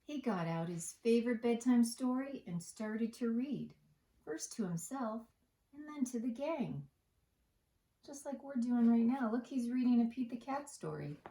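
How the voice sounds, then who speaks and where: Slow-reading woman hosting children's bedtime storytime. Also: a little high, expressive, dark tone. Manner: singsong, deliberate, with asides to listeners. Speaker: storytime host and reader. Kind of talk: bedtime picture-book read-aloud for young children. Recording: echoey room.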